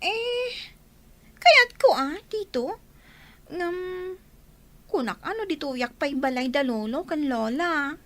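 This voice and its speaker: A woman's voice: high-pitched, animated, slow pace, pauses often, a bit bright, no echo, exaggerated voice. A woman playing a plaintive, emotional young girl who speaks respectfully to her elders in an Ilocano radio drama. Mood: shy, polite.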